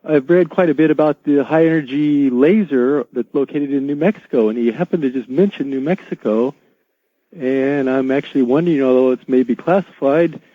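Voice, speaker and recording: A man's voice: medium pitch, dry recording. A man phoning a radio show with a question, hesitant and earnest, on a thin telephone line. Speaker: radio phone-in caller. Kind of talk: asking a question on air. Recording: thin, narrow phone line.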